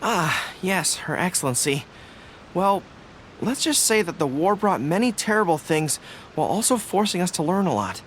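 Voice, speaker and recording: Earnest young general. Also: male voice, sits high, very animated, moderate pace, fairly bright tone, slight background noise. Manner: polite, apologetic, earnest. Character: earnest young male general, loyal and polite. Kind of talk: game voice acting.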